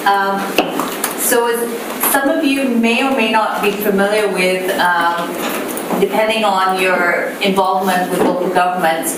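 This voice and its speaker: A woman with a soft voice presenting at a public meeting, explaining plans in a measured way with frequent fillers. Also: medium pitch. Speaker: city planner presenting. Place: council meeting room. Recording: echoey room, distant mic, background noise.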